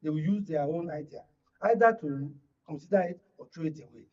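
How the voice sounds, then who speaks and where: A man's voice: fairly high pitch, brisk, dark tone. An older man with a Nigerian accent speaking as an analyst in a panel discussion, making emphatic points with anecdotes and rhetorical questions. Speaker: political analyst and panel guest. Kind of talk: panel discussion on current affairs. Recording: dull, lo-fi broadcast audio.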